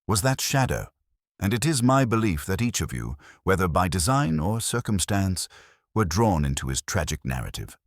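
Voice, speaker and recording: A man voicing a commanding male detective, formal, measured and deliberate, laying out a case in a dramatised detective audiobook. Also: low voice, very animated, no echo, clean studio sound, pitched above the performer's own voice. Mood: probing, grave.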